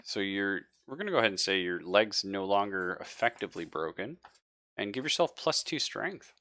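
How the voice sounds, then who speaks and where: A man running a tabletop roleplaying game as game master, narrating casually and haltingly with informal, sweary wording. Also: on the low side, very animated, bright, forceful tone, no echo.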